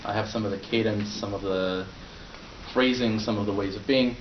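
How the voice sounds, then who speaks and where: A young man born in Poland, Polish his first language, delivers a prepared speech to a club in a low, easygoing voice, with fillers and restarts. He is caught from a distance in a roomy meeting room on a dull, muffled recording. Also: fairly even intonation, moderate pace, a little hiss or hum. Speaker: club speaker.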